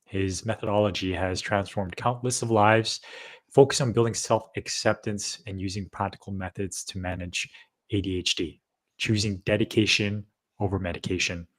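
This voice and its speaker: A man's voice: on the low side, fairly even intonation, normal speed. A man co-hosting a casual live-streamed talk, loose and rambling, with many fillers as he introduces and checks in. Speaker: co-host.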